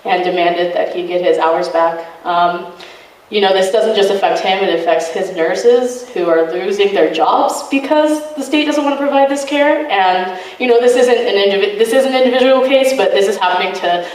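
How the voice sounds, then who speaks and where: Brief: loud, earnest woman activist speaking to students, fillers everywhere, heard from afar in a roomy hall. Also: medium pitch, moderate pace. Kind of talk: informal talk to a student group. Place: college meeting room. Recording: echoey room from a distance.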